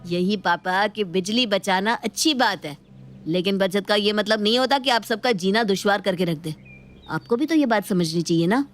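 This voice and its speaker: A woman voicing a playful, outspoken young daughter in an animated moral story, with a chatty, teasing manner. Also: medium pitch, moderate pace, faint music behind, lightly acted. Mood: earnest.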